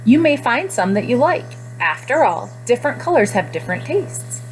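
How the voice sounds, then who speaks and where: A woman teacher speaking to young children in simple, expressive phrases during a guided reading lesson. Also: fairly high pitch, moderate pace, soft background music, low-quality recording. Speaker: classroom nutrition teacher.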